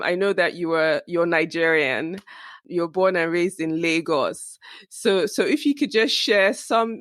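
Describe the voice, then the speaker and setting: A woman's voice: lowish voice, no echo, studio quality. A woman hosting a podcast, upbeat and encouraging as she introduces and questions her guest. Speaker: podcast host.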